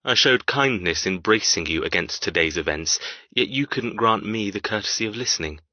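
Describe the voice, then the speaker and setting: A man's voice: lowish voice, lively intonation, moderate pace, bright, forceful tone, no echo. A man playing a cold, controlling, arrogant young man in an audiobook romance, speaking in a clipped, formal, commanding way. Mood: cold, refusing. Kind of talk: audiobook character dialogue. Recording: dull, muffled, dry recording.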